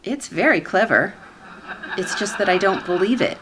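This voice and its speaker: A woman playing a skeptical, wry commission staffer in a sitcom-style radio play, speaking in a measured way. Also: medium pitch, expressive, background noise, close to an everyday voice.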